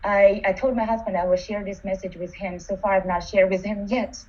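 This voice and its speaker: A woman whose first language is not English, earnestly sharing her experience as a coaching student, halting and restarting as she speaks. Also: mid-range voice, steady tone, darkish tone, highs cut off. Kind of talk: sharing a personal testimony.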